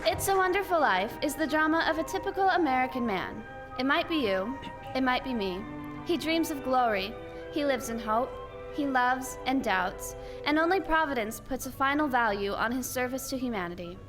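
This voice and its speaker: Radio narrator, female actor: high voice, earnest, measured, dramatic 1940s storytelling over soft music. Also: expressive.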